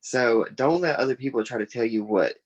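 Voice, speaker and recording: A man's voice: mid-range voice, brisk, dull audio. A young man from South Carolina speaking casually and earnestly as an author being interviewed. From South Carolina, United States. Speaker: guest author.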